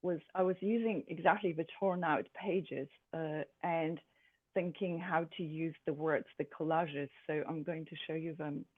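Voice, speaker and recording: A speaker born in Poland, an artist presenting her own work in a thoughtful, halting way with many pauses and fillers. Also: medium pitch, fairly even intonation. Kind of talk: art presentation to a group. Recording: tinny phone-like sound.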